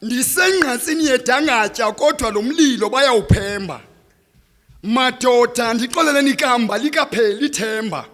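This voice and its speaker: A man's voice: very high-pitched, normal speed. A man with a Xhosa accent performing declamatory, rhythmic spoken-word poetry on stage, impassioned and dramatic. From South Africa. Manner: impassioned, dramatic, swelling from hushed to loud. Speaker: slam poet performing on stage. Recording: live stage performance, reverberant hall.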